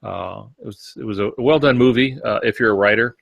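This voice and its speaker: An older American man co-hosting a podcast, giving measured, conversational explanations and writing advice with anecdotes. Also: mid-range voice, creaky, dry recording. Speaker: podcast co-host.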